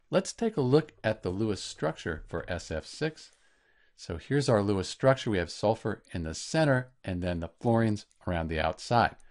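A man narrating an instructional lesson as a teacher, explaining clearly step by step. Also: fairly low pitch. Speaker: chemistry teacher.

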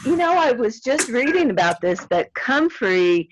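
A middle-aged woman, answering audience questions in a friendly, chatty, self-deprecating way. She is losing her voice and clears her throat now and then. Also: a little high, sing-song, a little slow, background noise. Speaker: extension weed scientist answering audience questions. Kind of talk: casual Q&A at end of webinar. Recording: dry, slightly dull webinar audio.

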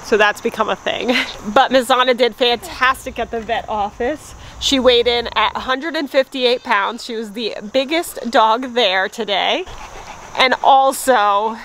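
A young woman with a fairly high voice chats casually through a farm vlog. She films herself outdoors on a phone held at arm's length, and a faint hiss sits under her voice. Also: a bit bright, rough recording. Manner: chatty, light laughs, baby-talks to her dogs. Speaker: farm vlogger.

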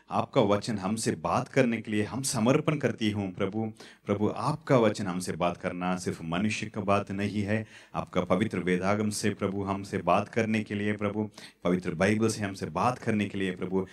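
A man in his thirties preaching a sermon on a church stage into a handheld mic, halting and repetitive. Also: on the low side. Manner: halting, repetitive, fillers and restarts. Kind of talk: sermon with prayer. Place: church stage in front of curtains.

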